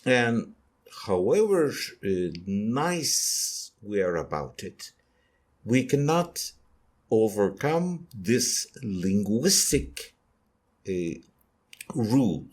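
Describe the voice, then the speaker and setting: Turkish-accented male guest, slow and hesitant, lively pitch. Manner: slow, hesitant with many fillers. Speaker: guest author. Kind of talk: podcast discussion.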